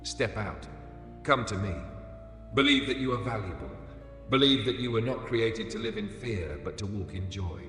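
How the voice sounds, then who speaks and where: A man narrates a devotional message with a low, bright, forceful voice. He is solemn yet soothing, laying out short deliberate phrases as if God were speaking. Music plays behind him. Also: lively intonation. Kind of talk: scripted inspirational religious narration. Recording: echoey, long reverb over music.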